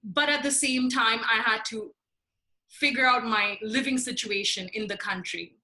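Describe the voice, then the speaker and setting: A woman's voice: fairly high pitch, fairly even intonation, normal speed, bright, forceful tone. A woman in her late twenties from India and Nigeria, telling her personal story as an interview guest. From born in India, raised in Nigeria. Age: late 20s. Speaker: podcast guest, mental health advocate.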